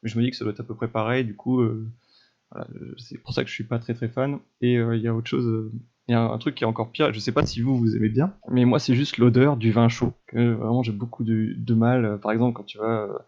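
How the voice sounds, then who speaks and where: A man's voice: medium pitch, flat delivery, fast talker, gravelly, a little mellow. A man hosting a podcast, asking questions and chatting casually with some hesitation. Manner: asks questions, hesitant with fillers. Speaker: podcast host. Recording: dull lo-fi mic.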